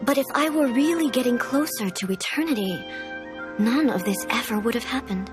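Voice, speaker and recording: An actress playing a solemn, ageless ruler in a video game, speaking in a formal, measured, pondering way. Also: female voice, a little high, expressive, natural voice. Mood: solemn, contemplative. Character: solemn, regal, ageless female ruler, earnest and contemplative. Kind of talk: video game character acting. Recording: soft music behind.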